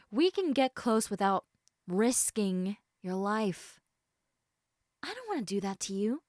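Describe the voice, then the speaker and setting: A woman's voice: on the high side, no room sound. Woman voicing a caring, snarky lamia, close and dry. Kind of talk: intimate audio roleplay addressed to the listener.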